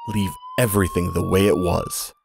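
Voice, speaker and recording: A man narrating a story in measured, dramatic tones. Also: low voice, fairly even intonation, gravelly, background noise. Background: music.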